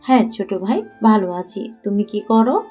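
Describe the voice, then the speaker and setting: A woman's voice: mid-range voice, very animated, normal speed, loud music behind the voice, close to an everyday voice. A woman playing a reassuring older-sister figure in an audio story, soothingly promising help. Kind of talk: Bengali audio story acting.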